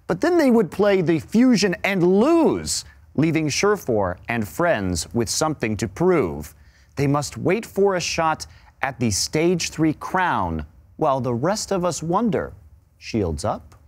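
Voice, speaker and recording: Higher-voiced, animated male esports analyst. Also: takes it slowly. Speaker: esports panel host and analyst. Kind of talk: casual panel commentary with playful rhymed story reading.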